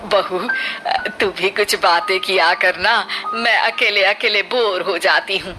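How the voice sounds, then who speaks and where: A woman acts out an elderly mother-in-law in a cartoon drama, showy, proud and easily jealous. She speaks slowly and haughtily, with music clearly playing behind her. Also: mid-range voice, steady tone, close to an everyday voice. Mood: lonely, complaining. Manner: haughty, showy, drawn-out speech. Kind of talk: cartoon family drama acting.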